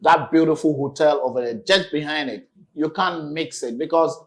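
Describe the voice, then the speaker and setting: A man hosting a casual on-location chat in a small restaurant, lively and promotional. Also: fairly high pitch, moderate pace. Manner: lively and promotional, urging viewers to visit. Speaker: channel host.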